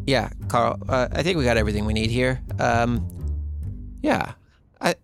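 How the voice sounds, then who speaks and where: A man's voice: medium pitch, lively intonation, normal speed, vocal fry, soft background music. An actor playing a glib, sardonic male reality show host in an audio drama parody. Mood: placating.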